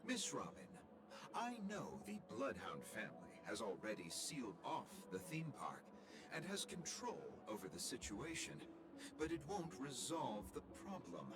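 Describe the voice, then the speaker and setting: A man playing a demanding, wary and displeased gentleman guest in a video game. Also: medium pitch, wildly swooping pitch, a little slow. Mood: indignant, wary. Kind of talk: scripted video game voice acting. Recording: game dialogue under loud music.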